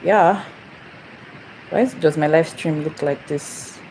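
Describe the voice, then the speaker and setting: A woman's voice: low voice, slow, a little mellow, slight background noise, poor audio. A young woman from Nigeria hosting a casual phone livestream, rambling and repeating herself as she greets viewers. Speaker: channel host. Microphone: phone camera.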